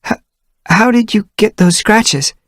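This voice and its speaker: A man's voice: sits high, lively intonation, slow, fairly bright tone, no echo, studio quality, voice pushed up, full character voice. A man voicing a young, curious female apprentice cat in a storybook, asking things in an anxious, inquisitive way.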